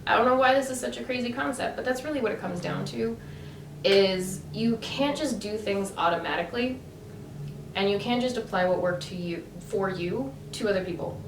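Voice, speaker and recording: A woman's voice: mid-range voice, moderate pace, creaky. A woman vlogger talking about her own choices in a casual, emphatic way, with many fillers and rising bursts of emphasis. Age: adult. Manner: animated, emphatic, lots of fillers. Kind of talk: casual opinion monologue. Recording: echoey room, mic at a distance, background noise.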